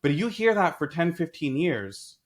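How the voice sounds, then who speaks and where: A man in a casual discussion, talking in an animated way and telling stories with acted quotes. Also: a little high, moderate pace. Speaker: podcast host.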